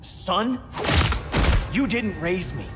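An actor playing a cocky, hot-headed young assassin and rebellious son in a game cutscene, sneering and confrontational. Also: male voice, high voice, big pitch swings, drawn-out words, over loud music, heavily acted. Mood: defiant. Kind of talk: cinematic video game acting.

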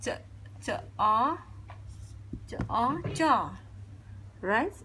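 A woman's voice: on the high side, lively intonation, sharp tone, a little hiss or hum, rough recording. A young woman from Cambodia with a Khmer accent, teaching her language at a whiteboard and repeating each sound over and over. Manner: deliberate, repeats sounds many times. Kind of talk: slow whiteboard language lesson. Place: indoors at a whiteboard.

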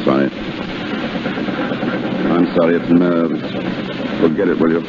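A man's voice: low voice, steady tone, measured pace, heavy background noise. A man playing a tender, earnest young army officer in a 1930s radio drama, speaking with clipped dramatic delivery. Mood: pleading, strained. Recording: muffled old 1930s radio recording with music.

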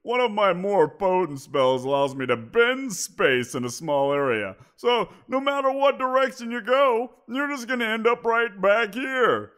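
A man performs a greedy giant creature who toys with his captive, his voice climbing and swooping with mocking amusement. He lingers over his words, slow and deliberate, smug in his power. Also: high voice, a bit bright, exaggerated voice. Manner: playful, teasing, drawn-out. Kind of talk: audio roleplay.

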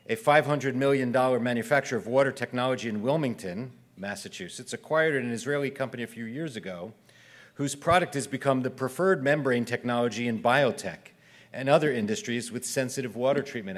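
A man's voice: mid-range voice, brisk. A middle-aged man hosting a breakfast event, reading prepared remarks steadily from a podium. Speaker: event host. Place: event hall, indoors. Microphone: podium microphone.

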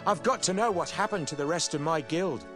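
A man's voice: on the high side, a little fast, faint music behind, lightly acted. An actor playing a brash, good-hearted young guildsman in an adventure game, talking plainly and with wry spirit. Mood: friendly, eager. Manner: animated, plain-spoken, brash. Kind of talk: 1990s adventure game voice acting.

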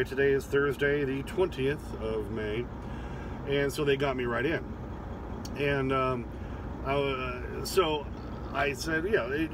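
A man's voice: a little high, noisy background. Rambling man talking from his truck cab. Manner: rambling and chatty, with many fillers and restarts. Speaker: truck driver and vlogger. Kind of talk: casual vlog talk to camera.

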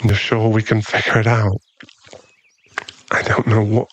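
A man's voice: lowish voice, little pitch movement, a little slow, a bit bright, lo-fi sound. A man playing a brooding, protective mafia boss in love, in an intimate ASMR boyfriend roleplay. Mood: vulnerable, confessing.

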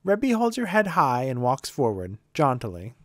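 A woman's voice: extremely low pitch, very animated, normal speed, dry recording, clean studio sound. A woman narrating a scripted fantasy comedy podcast.

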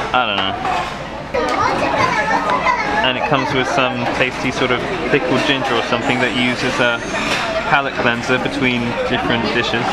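A young British man narrates his own video in a chatty, wry way, tossing off self-deprecating asides. His mid-range voice competes with a loud crowd and heavy street noise around the handheld camera. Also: medium pitch. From Britain. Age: young adult. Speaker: vlogger. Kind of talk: casual vlog narration. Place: busy restaurant and city street.

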